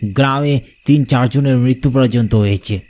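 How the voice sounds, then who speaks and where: A man acts out an angry villager from a cartoon horror tale. He speaks at a middling pitch, accusing and confronting a stranger like someone ready to make threats. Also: normal speed, a little mellow, natural voice. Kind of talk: cartoon horror story dialogue.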